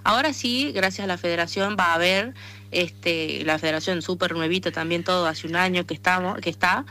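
A woman's voice: fairly low pitch, fairly quick, sharp tone. A woman with a Tucumán accent from northern Argentina, answering as an athlete in a conversational radio interview. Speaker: interviewed athlete, arm wrestler and association president.

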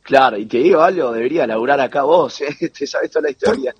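A man in his thirties with an Argentine accent talks in a relaxed, chatty way and laughs easily. His voice is a bit husky. The call audio comes through dull and lo-fi. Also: medium pitch, fast, no room sound. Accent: Argentine (Rioplatense). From born in New York to Argentine parents. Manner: relaxed, chatty, laughs often, local slang. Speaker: TV producer, guest. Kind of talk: casual interview over a call.

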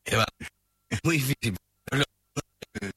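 Rioplatense radio co-host, male, bright tone, dry close mic, halting. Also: medium pitch, lively intonation, husky, dry recording. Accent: Rioplatense Spanish. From Buenos Aires, Argentina. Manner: hesitant, trailing off mid-sentence. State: voice not at its best. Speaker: radio co-host presenting music. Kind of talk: casual radio chat.

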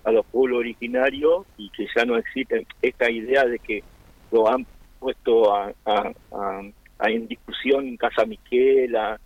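Earnest gravelly Argentine man, dry lo-fi sound. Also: mid-range voice, lively intonation, a little slow, no echo. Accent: Argentine Spanish. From Argentina (Chubut). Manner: hesitant, many fillers and restarts, earnest. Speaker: interviewee, Mapuche-Tehuelche community member. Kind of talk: radio interview, reflective explanation.

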